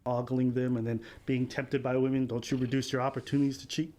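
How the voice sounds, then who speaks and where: A man's voice: medium pitch, level tone, a little mellow. A man hosting a podcast who argues by asking leading questions. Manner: fast, argumentative, leading questions. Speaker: podcast host. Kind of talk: podcast discussion.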